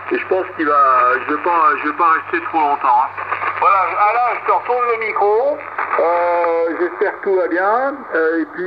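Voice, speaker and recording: Muffled shortwave radio with clear static carries a man's high voice. He talks casually as an amateur radio operator, handing over and chatting with the others on the frequency. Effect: shortwave single-sideband radio.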